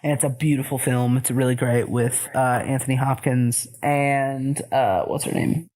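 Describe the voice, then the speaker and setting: A man's voice: mid-range voice, steady tone, normal speed, darkish tone. A man co-hosting a podcast in casual chat, rambling and joking, often trailing off with fillers. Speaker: podcast co-host.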